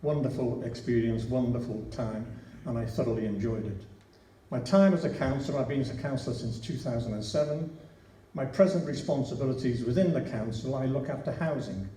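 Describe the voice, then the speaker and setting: A man's voice: medium pitch, fairly quick, warm tone. An older man in his sixties with a Liverpool accent, giving a chatty, anecdotal speech as a council candidate at a hustings, often restarting his sentences. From Liverpool, England. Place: large church hall. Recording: echoey hall, distant mic, faint hiss.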